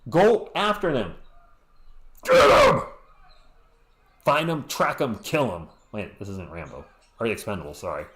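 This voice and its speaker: A man's voice: mid-range voice, wildly swooping pitch, measured pace, pauses often, fairly bright tone, a little hiss or hum. Chatty play-by-play man, roomy echo. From Western Massachusetts. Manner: chatty, answers chat messages with joking asides. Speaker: sports stream host. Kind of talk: live play-by-play commentary.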